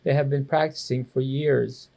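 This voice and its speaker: A man's voice: mid-range voice, steady tone, normal speed, vocal fry, dark tone, lightly acted. A man reading the part of a firm, serious mother in a children's storybook.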